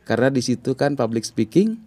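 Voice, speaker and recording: A man's voice: big pitch swings, brisk, mellow. Playful man hosting an interview. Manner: playful, exclaims with sudden high jumps in pitch. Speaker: interviewer host. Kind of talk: chatty talk-show interview.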